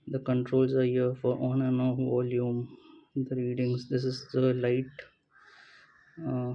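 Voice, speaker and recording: A man casually talking through a product unboxing without a script, thinking aloud in a halting way as he goes. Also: medium pitch, gravelly, mellow, poor audio. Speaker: gadget unboxing vlogger. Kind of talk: casual unscripted product walkthrough.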